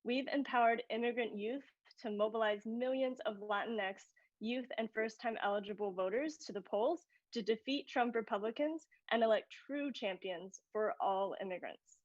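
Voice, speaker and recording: A woman's voice: fairly high pitch, moderate pace, hardly any pauses, no room sound. A woman co-hosting an activist live stream, reading an upbeat script. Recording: dry, muffled audio.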